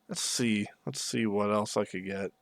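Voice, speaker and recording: A man's voice: fairly low pitch, moderate pace, gravelly. A man from Western Canada with a Canadian accent, giving casual, rambling gameplay commentary as a video host. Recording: noisy, game gunfire behind voice. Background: game battle sounds with gunfire.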